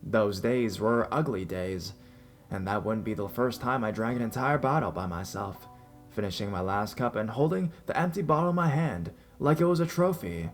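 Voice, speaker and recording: Horror story narrator: man, lowish register, reading first-person accounts over a music bed. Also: expressive, normal speed. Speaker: narrator reading listener-submitted scary stories. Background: background music.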